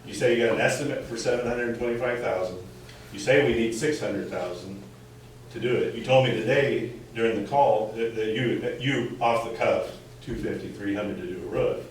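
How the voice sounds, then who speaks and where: An American man speaking up as a resident at a community meeting, halting and repeating words as he presses his skeptical point. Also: mid-range voice. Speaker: resident questioning a school board. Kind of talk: impromptu public comment at a community meeting. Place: indoor community meeting room, recorded from afar. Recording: echoey room, distant mic, faint noise.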